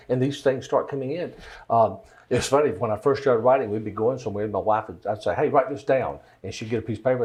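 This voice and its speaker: An older-sounding man with a deep Southern American accent, telling stories in a folksy, rambling way in a casual interview. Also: a little mellow. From Georgia, United States. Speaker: interview guest, songwriter and singer.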